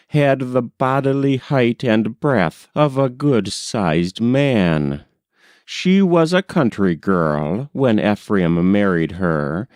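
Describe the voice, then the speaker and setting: Slow-paced, low-voiced man reading aloud. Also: a little mellow, dry recording, studio quality. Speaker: audiobook reader. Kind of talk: scripted literary narration of a 19th-century novel.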